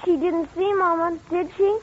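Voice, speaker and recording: Troubled small boy for vintage radio thriller; very high, stubborn, plaintive, dulled recording. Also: steady tone, normal speed. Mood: insistent. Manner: plaintive, insistent, childlike. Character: troubled, stubborn young boy missing his mother. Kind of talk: 1940s radio drama acting. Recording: muffled 1940s radio recording.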